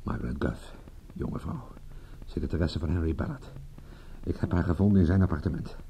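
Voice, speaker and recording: A man's voice: low-pitched, lively intonation, brisk, vocal fry, mellow, a little hiss or hum. An actor playing a tough, impatient and shrewd male private detective in a radio drama, commanding and full of pointed questions. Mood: grim, subdued. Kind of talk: radio drama acting in Dutch.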